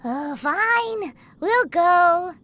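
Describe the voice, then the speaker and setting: Very high, squeaky fairy companion. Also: female voice, very slow, full character voice. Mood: doubtful. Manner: squeaky, expressive, pouty. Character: tiny childlike female fairy companion, cheeky and skeptical. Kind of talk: scripted video game voice acting. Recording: playful game music behind.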